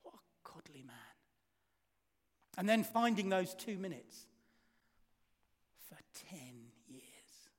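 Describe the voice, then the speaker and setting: A man preaching a sermon, telling a story and asking the congregation rhetorical questions, his volume swinging from loud to hushed. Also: high-pitched, very animated, takes it slowly, pauses often. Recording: reverberant hall.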